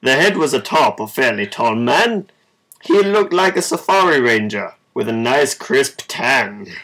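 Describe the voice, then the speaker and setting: A man reading a children's story aloud in an informal, measured amateur way. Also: mid-range voice, very animated, a bit bright, low-quality recording. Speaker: storybook reader.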